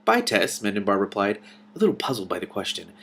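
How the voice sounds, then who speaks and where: A man with a fairly low voice reads a book aloud with expression. He drops in informal asides and exclamations as host. Some faint room noise sits behind him. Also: animated, fast talker, vocal fry, a bit bright.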